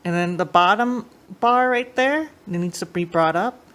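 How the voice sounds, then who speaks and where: A woman's voice: on the low side, normal speed, a bit bright. A woman giving a casual how-to demonstration as a host, explaining informally and a little hesitantly. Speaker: host of a tape deck tech channel. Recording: clear background noise, room ambience.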